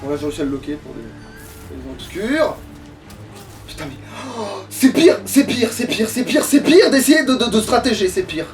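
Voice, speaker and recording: Male gamer, high voice, griping and swearing in loose sarcastic commentary, music underneath. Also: very animated, moderate pace. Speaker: let's player, playing the game. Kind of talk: casual gaming commentary with a friend. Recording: distant mic, game music behind.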